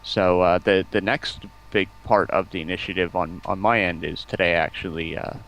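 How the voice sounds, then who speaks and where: A man's voice: deep voice, steady tone, moderate pace, a bit bright, poor audio. A man talking casually as a guest in a stream interview, explaining at length in an enthusiastic, rambling way. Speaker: stream guest, community outreach representative. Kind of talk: casual interview talk about game streaming.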